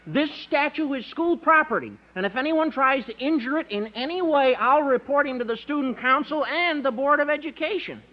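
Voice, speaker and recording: Squeaky high-pitched gawky teenage boy. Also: expressive, moderate pace, hardly any pauses. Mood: earnest, protective. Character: gawky, high-voiced, earnest teenage schoolboy. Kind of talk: 1950s radio sitcom acting. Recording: dull noisy radio recording, studio audience.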